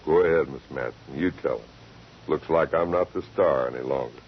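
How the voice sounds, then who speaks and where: An actor playing a folksy, laid-back singing cowboy in a 1940s radio drama. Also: male voice, very deep voice, brisk, a little hiss or hum. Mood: resigned. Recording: muffled 1940s radio recording.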